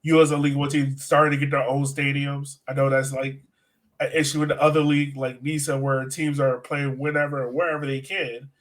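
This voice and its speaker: A man's voice: mid-range voice, level tone, a little fast. A man co-hosting a soccer podcast, chatting informally and slangily as he breaks down the game. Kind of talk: casual sports chat and game analysis.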